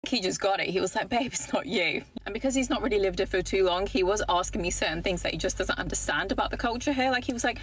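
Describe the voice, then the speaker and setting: A woman's voice: medium pitch, fast, few pauses, husky, dry recording. A woman in her thirties, telling a dating story in a chatty, talkative way, full of "like". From Britain. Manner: chatty, talkative, filler-heavy with frequent "like". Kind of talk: casual storytelling to camera.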